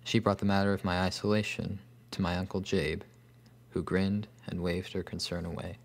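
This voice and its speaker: An actor playing a solemn, bookish loner recalling his boyhood, narrating a scripted horror story with an American accent and grave, measured phrasing. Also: sits low, little pitch movement. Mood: sombre. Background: guitar music.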